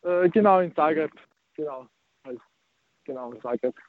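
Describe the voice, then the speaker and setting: A man's voice: fairly high pitch, normal speed. A nineteen-year-old man from Austria, a young tennis player, answering interview questions hesitantly and repeating filler words. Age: teens. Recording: tinny phone-like line.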